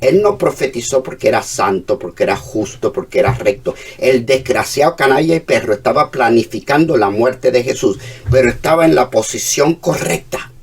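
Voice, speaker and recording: A man with a Cuban accent, preaching a sermon as a pastor, moving between hushed and emphatic passages. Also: medium pitch, lively intonation, moderate pace, gravelly, husky, distant mic.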